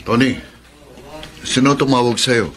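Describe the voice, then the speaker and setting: An actor playing a frank, sympathetic friend in a Filipino radio drama, with a questioning manner. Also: male voice, mid-range voice, measured pace, fairly bright tone, slight background noise, lightly acted. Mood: concerned.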